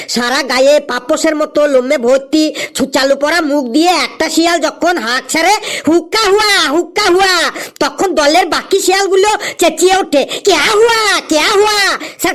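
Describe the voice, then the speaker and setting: A man voicing a cheeky, crafty schoolboy in a comedy cartoon, reciting in a sing-song way. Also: very high voice. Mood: howling, then cheeky, eager.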